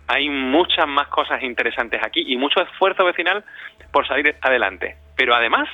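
A man's voice: medium pitch, no echo. A man answering interview questions conversationally, reflective and often repeating words as he searches for phrasing. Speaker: interviewee, community radio director. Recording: dull lo-fi sound, dry.

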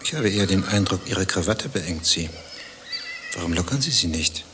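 A man's voice: sits low, lively intonation, moderate pace, background noise. An actor playing a contrarian, dryly philosophical zoo visitor in a radio play, pedantic and probing in his talk. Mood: needling.

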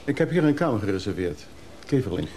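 An actor playing a fussy, nervous, rambling traveller in a radio drama, with a hesitant, dry way of talking. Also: male voice, lowish voice, sing-song, quick pace, darkish tone. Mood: fussy, polite. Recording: noisy radio play recording.

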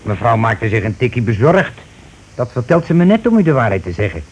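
An actor in a radio play playing an evasive, scheming husband who lets rooms and talks in an offhand, colloquial, bossy way. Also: male voice, mid-range voice, very animated, brisk, slightly rough, dull audio. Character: evasive, scheming husband, a brusque working-class lodging keeper. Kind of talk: naturalistic radio play acting. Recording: noisy old radio play recording.

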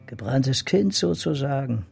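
A man's voice: mid-range voice, animated, a little slow, creaky, darkish tone, husky, soft background music, full character voice. A man playing a dry, guarded police officer in a radio crime drama, speaking laconically. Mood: confiding.

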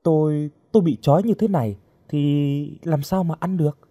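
A man's voice: on the high side, normal speed, dark tone, dry recording, studio quality, voice pushed up. A man voicing a frightened captive young woman who pleads and challenges in a dramatised audio story. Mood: anxious, questioning.

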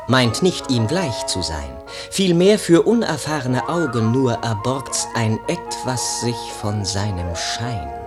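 An actor playing a solemn, poetic prologue speaker in a radio drama, declaiming in a measured, theatrical way. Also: male voice, mid-range voice. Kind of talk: recited verse prologue in German radio drama.

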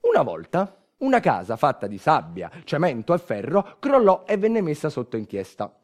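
A man performing a scripted stage monologue, dramatic, moving between hushed and emphatic delivery. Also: on the high side, wildly swooping pitch, normal speed. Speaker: stage actor, narrator of a monologue. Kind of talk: theatrical scripted storytelling.

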